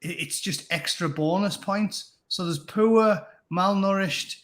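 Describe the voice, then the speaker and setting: A man's voice: high voice, slow. A man, a guest in a casual live chat, who talks bluntly and crudely in a ranting way.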